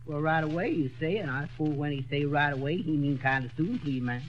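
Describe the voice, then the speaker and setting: A man's voice: fairly high pitch, brisk, close to an everyday voice. A man doing a drawling easygoing handyman. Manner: drawling put-on dialect, mangles words. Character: slow easygoing adult male handyman. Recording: dull old radio broadcast.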